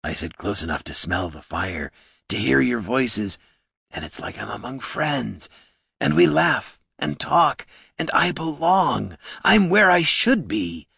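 A man's voice: medium pitch, big pitch swings, slow pace, creaky, sharp tone, breathy edge, no room sound, clean studio sound, pitched above the performer's own voice, exaggerated voice. A man voicing a lonely troll who longs for friends, speaking wistfully in an audiobook. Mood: longing.

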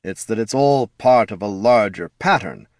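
A man's voice: lowish voice, lively intonation, moderate pace, dry recording, clean studio sound, lightly acted. A man voicing an undead lich wizard father in a fantasy storybook, pedantic, haughty and self-justifying. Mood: defensive, pedantic.

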